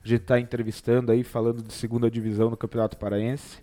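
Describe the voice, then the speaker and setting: A man's voice: mid-range voice, flat delivery, quick pace, mellow. A Brazilian man hosting a casual live football talk show. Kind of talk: casual sports chat on a live stream. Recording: background music behind the voice.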